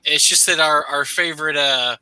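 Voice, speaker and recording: A man's voice: on the high side, moderate pace, sharp tone, clean tone, no room sound. A man co-hosting a casual livestream, talkative and often restarting his phrases. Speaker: host.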